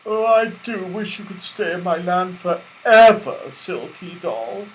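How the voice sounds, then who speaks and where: A kindly little toy rabbit, played by a man reading a storybook, pitched high and swinging up and down as he gushes. He is sentimental and excitable, stumbling slightly over his words. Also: unhurried, poor audio. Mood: fond, wistful. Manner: gushing, hesitant, exclamatory. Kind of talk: children's storybook character voice.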